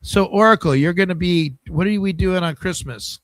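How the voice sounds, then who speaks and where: Male sports podcast host, casual and rambling, slightly higher voice, dry close sound. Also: moderate pace, dry recording. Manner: loose, rambling and friendly. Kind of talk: casual sports show chat.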